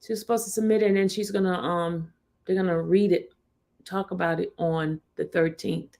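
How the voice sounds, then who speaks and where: A woman's voice: on the low side, steady tone, normal speed, mellow. A woman, chatting on her livestream and laughing with her viewers. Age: 50s. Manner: chatty, laughs often, talks to her live chat. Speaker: livestream host. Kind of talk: casual livestream chat.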